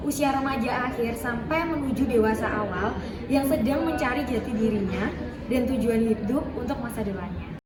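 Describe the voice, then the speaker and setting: A woman's voice: a little high, moderate pace. A woman giving a formal scripted explanation as presenter. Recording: echoey distant recording with crowd.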